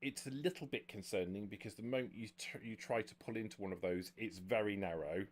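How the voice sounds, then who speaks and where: A man running a tabletop game as game master, improvising narration in a halting way with repeated words. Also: fairly low pitch. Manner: halting, frequent stammered word repeats.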